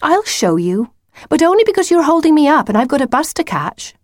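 A woman's voice: sits high, big pitch swings, brisk, darkish tone, no echo, studio quality, pitched above the performer's own voice. A woman voicing a stubborn, unimpressed schoolgirl in a children's story, with a firm, matter-of-fact manner. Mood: cross, firm.

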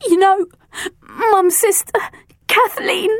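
A woman's voice: extremely high pitch, fairly even intonation, slow pace, no room sound, studio quality, voice pushed up, full character voice. A woman voicing a sobbing, breathless teenage girl. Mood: tearful. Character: tearful teenage girl, anxious and defensive.

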